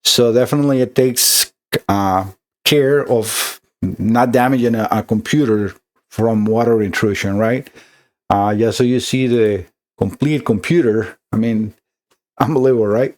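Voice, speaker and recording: A man's voice: medium pitch, unhurried, a little mellow. A middle-aged man from Costa Rica with a Costa Rican Spanish accent, conversationally explaining an electronics repair as a technician, with many fillers and restarts.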